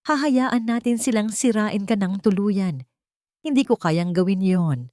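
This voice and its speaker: A woman's voice: medium pitch, measured pace, dark tone, no room sound, pitched above the performer's own voice, lightly acted. Lady doing a resolute protective son in an audio drama, earnest, dry recording. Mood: pleading resolve. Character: determined adult son, protective of his mother.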